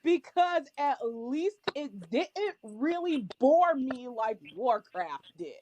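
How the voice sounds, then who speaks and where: A woman's voice: high voice, very animated, takes it slowly, noisy background. A woman chatting casually as a podcast panelist, giving blunt, emphatic opinions in a heated group discussion.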